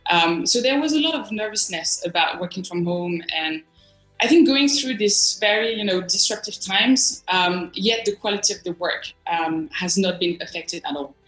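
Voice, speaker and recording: A woman's voice: medium pitch, animated, moderate pace, fairly bright tone, reverberant, faint music behind, poor audio. A woman from northern France with a French accent, giving earnest, reflective answers as an interview guest. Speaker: interview guest, design agency executive.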